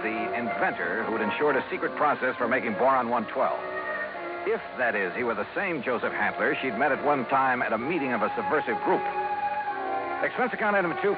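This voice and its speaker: An actor playing a cool, skeptical insurance investigator in a 1950s radio drama, brisk and matter-of-fact, with a wry edge. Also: male voice, medium pitch, lightly acted. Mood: narrating crisply. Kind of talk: 1950s radio acting, dialogue and first-person narration. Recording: tinny, noisy old radio recording.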